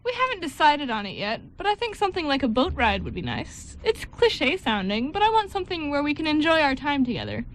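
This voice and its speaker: A woman's voice: high voice, huge pitch swings, fast, hardly any pauses. A woman playing an upbeat, reassuring bride-to-be in an amateur radio drama, chatty and encouraging. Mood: happy, thoughtful. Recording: faint background noise and room ambience.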